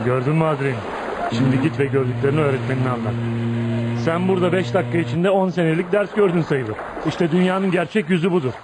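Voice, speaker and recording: A man's voice: fairly high pitch, quick pace, natural voice. A man acting in a Turkish radio play as a tough, streetwise young dockworker who hides his kindness behind blunt, swaggering talk. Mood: commanding. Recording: noisy radio drama recording.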